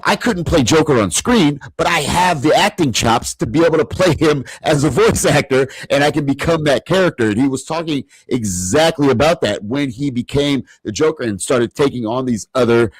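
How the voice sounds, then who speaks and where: A man's voice: mid-range voice, animated, normal speed, hardly any pauses, slightly rough, no echo, rough recording. A man co-hosting a podcast, talking emphatically with lots of repeated restarts. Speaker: podcast co-host. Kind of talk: casual interview chat.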